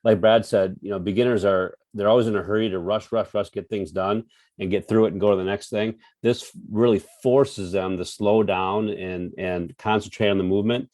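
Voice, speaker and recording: A man who is a coach, speaking in a relaxed, slightly hesitant way in a roundtable discussion. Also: fairly low pitch, moderate pace, dry recording. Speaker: strength coach.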